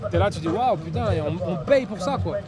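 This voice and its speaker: A young man from Haiti, interviewed outdoors in a park, talking in a relaxed, rambling way with many fillers. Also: high-pitched, brisk, loud music behind the voice, a loud crowd. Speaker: interviewee, beatboxer and MC. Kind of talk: casual interview chat.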